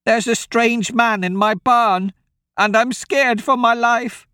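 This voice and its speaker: A man's voice: extremely high pitch, fairly even intonation, slow, pushed and bright, clear, resonant tone, dry recording, clean studio sound, voice pushed up, full character voice. A man voicing a frightened old farm woman in an audiobook, with urgent, folksy, rustic talk.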